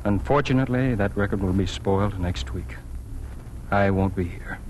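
An actor in a 1940s radio drama playing a dignified, earnest newspaper editor giving a measured public address. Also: male voice, deep voice, close to an everyday voice. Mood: solemn. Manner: measured, solemn. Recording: hissy vintage 1940s radio recording.

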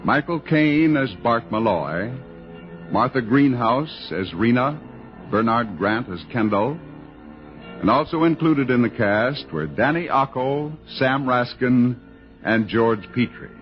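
A man's voice: mid-range voice, expressive, takes it slowly, faint music behind. An American man reading a script as an old-time radio announcer. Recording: muffled vintage radio broadcast.

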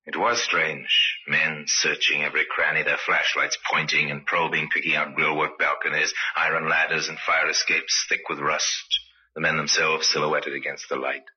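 A man narrating an old-time radio crime mystery in a grave, measured, dramatic storytelling manner. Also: very deep voice, little pitch movement, pushed and bright, slightly rough, full character voice. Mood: grave, intriguing. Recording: dull old radio recording.

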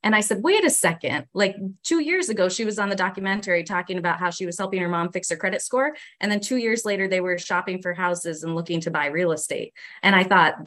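A woman's voice: mid-range voice, fairly bright tone. A woman teacher sharing classroom stories in a panel discussion, with frequent fillers. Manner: anecdotal, with fillers and restarts.

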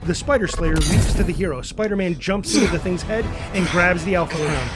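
A man with a high voice narrates an action script. His reading of the stage directions is dramatic, vivid and punchy, over clearly audible music. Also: a little fast.

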